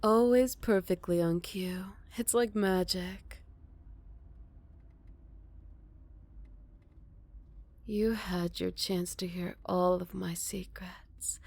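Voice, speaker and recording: A woman playing a smug, flirty captured villain in an ASMR roleplay, teasing and coy with breathy murmurs. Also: lowish voice, a little mellow.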